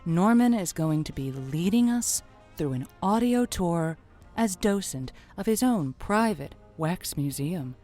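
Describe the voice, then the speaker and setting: A woman playing a gushing late-night radio advice host who gives halting, theatrical pep talks in an audio drama. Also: lowish voice, lively intonation, mellow, soft background music. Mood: fond sign-off. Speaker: radio call-in show host.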